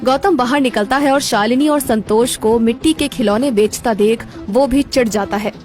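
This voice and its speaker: A female storyteller reads a scripted cartoon narration. Her voice is slightly high. Music is clearly present underneath. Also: moderate pace, darkish tone. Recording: background music throughout.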